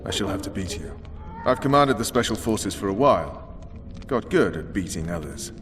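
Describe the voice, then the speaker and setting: An actor playing a hard, commanding special forces officer and interrogator in a video game, clipped and coolly threatening. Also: male voice, on the low side, animated, normal speed, fairly bright tone. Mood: menacing. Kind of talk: video game cutscene acting. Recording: clearly noisy background ambience.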